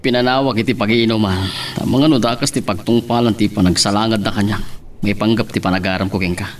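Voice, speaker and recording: A male actor plays a mild-tempered, earnest young suitor. The voice is fairly low and Ilocano-accented, in radio drama style with faint background noise. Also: steady tone, quick pace, close to an everyday voice. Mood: earnest, devoted. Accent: Ilocano, northern Philippines.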